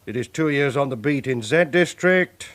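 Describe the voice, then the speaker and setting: An actor with a British accent playing a brisk, commanding male police chief inspector, giving curt orders in a radio drama. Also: medium pitch, animated, a bit bright, lightly acted. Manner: curt, authoritative, clipped orders. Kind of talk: 1980s radio drama acting.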